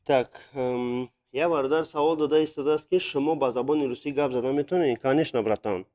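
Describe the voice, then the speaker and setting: A man's voice: mid-range voice, fairly quick, a little mellow. Hesitant young man streaming live. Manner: hesitant, with many fillers, mixing in Russian words. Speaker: live-stream artist drawing viewer requests. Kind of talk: casual live-stream chat. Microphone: phone.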